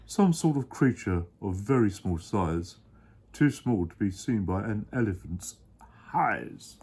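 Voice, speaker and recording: A man voicing a gentle, kind-hearted male elephant, reading a storybook aloud in an earnest, pleading way. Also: lowish voice, expressive, unhurried, breathy edge, full character voice. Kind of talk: reading a picture book aloud.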